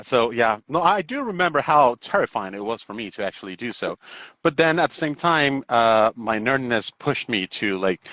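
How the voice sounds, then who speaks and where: Halting, wordy middle-aged man, dry close sound. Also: medium pitch, a bit bright, no room sound, rough recording. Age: 40s. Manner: halting and wordy, often repeating words and checking with "right?". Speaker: English teacher hosting a live stream. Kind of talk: casual interview chat. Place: indoors on an online video call.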